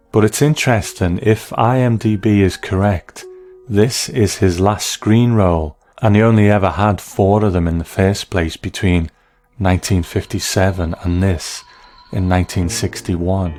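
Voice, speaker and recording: A man giving measured, analytical commentary as the host of a review of classic television. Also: low voice, a little mellow.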